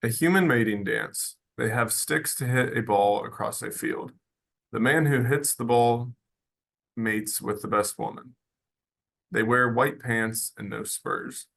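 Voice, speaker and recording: A man voicing an earnest, homesick horse who speaks in short, halting, childlike sentences in a radio drama table read. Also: mid-range voice, creaky, a bit bright. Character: simple earnest horse, plain-spoken and homesick.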